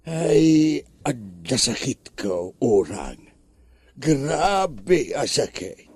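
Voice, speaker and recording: A man's voice: mid-range voice, very animated, drawn-out words, a little mellow, breathy edge, no room sound, exaggerated voice. A man with an Ilocano accent playing a lazy, scheming older uncle who whines about being sick in a comedy drama. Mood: feigned suffering. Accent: Ilocano, northern Philippines.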